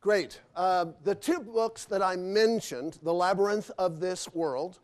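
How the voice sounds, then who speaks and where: High-voiced man lecturing at a Q and A. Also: takes it slowly. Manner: rambling, many fillers and restarts. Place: hotel convention hall.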